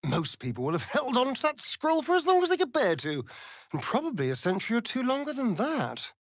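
A sardonic, vain elven vampire rogue from a fantasy game, with an English accent. His voice is breathy and close, recorded very dry. He draws his words out in an arch, mocking way, with theatrical swoops in pitch. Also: wildly swooping pitch, a little fast, no echo, exaggerated voice. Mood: wry. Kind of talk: video game character voice lines, theatrical delivery.

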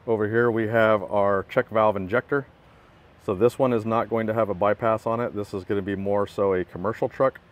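A man's voice: on the low side, fairly even intonation, fairly quick. A man giving a plainspoken, matter-of-fact walkaround of a work truck, outdoors in a parking lot, filmed on a phone he holds himself. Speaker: equipment builder giving a walkaround. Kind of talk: casual product walkthrough.

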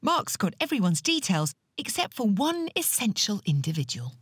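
A woman's voice: mid-range voice, sing-song, normal speed, slightly rough, no room sound, clean studio sound. A woman giving playful, scripted narration for a reality show. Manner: playful, punning.

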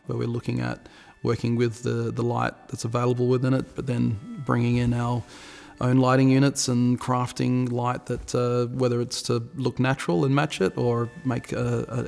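A man, a cinematographer explaining his lighting on set in an unhurried, technical way. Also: medium pitch, vocal fry, a little mellow, big-room sound. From New Zealand. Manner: unhurried, technical, with frequent uh fillers. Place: large historic house interior.

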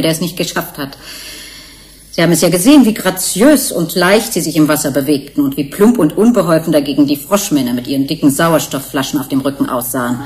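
An actress plays a female researcher in a German radio drama. Her voice sits slightly low. She muses in a reflective, wistful way, with fondness in her delivery. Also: darkish tone, natural voice. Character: wistful female researcher, fond of her engineered fish-human creatures.

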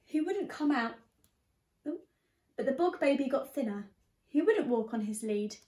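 A young woman reading a picture book aloud to young children in an expressive, teacherly way. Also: sits high, measured pace. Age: young adult. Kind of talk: storybook read-aloud. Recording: echoey room sound.

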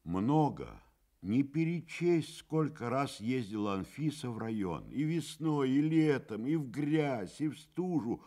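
A man narrating a radio drama in a measured, expressive literary storytelling manner. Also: mid-range voice.

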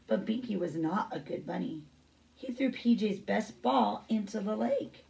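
A woman's voice: mid-range voice, expressive, measured pace, creaky, warm tone, distant mic, poor audio. A middle-aged woman reading a picture book aloud as a teacher, with brief asides.

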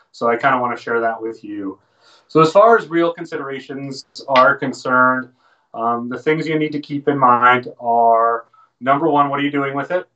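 The sound is a little distant and dull, as from a webcam in a small shop room. An American man in his thirties talks informally and informatively at a medium pitch. He comes across as a presenter explaining things on a casual livestream. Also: fairly bright tone. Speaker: fly fishing shop presenter.

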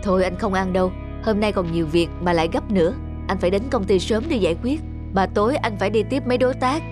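A hurried working father played by a man in an animated story, speaking in an everyday adult voice over music. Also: high voice, lightly acted. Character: busy, hurried father and office worker. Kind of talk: animated cartoon dubbing.

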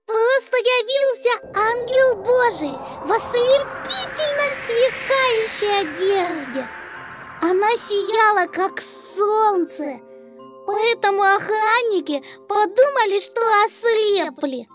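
A woman's voice: very high-pitched, music in the background, exaggerated voice. A woman voicing a cheerful little child angel who tells Bible stories expressively in a children's puppet show. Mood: animated storytelling. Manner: slow, expressive, emphatic storyteller.

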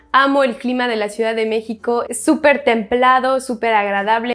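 Young woman, higher-pitched chatty voice. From France. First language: French. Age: 20s. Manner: chatty, informal, self-deprecating. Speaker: vlogger answering viewer questions. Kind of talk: casual chat to camera. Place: bedroom, indoors. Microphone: lapel mic.

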